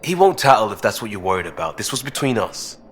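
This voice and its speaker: An actor playing a good-natured young crewmate in a space video game, talking informally and earnestly. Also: male voice, fairly low pitch, sing-song, fast, slight background noise. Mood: explaining.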